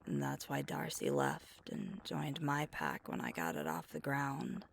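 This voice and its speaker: A woman playing a sweet, thoughtful alpha werewolf girlfriend in an intimate, soft-spoken ASMR roleplay, often breathy and musing. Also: rumbling low, big pitch swings, measured pace, slight background noise, heavily acted. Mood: hushed, uneasy. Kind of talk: ASMR roleplay, intimate one-sided conversation.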